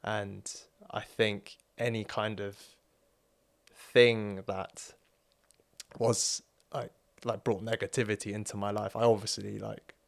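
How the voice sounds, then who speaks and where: Soft-spoken young man, gravelly and flat. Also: fairly low pitch, slow. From born in South Korea, grew up in Wales. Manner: soft-spoken, hesitant, many fillers. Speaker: guest. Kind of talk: candid personal interview.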